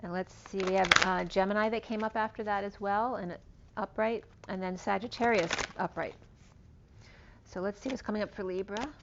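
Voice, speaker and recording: A woman giving a casual tarot reading, rambling through cards and star signs, her voice going hoarse. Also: mid-range voice, fairly even intonation, normal speed, heavy background noise. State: losing her voice. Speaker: tarot reader. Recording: dull lo-fi recording.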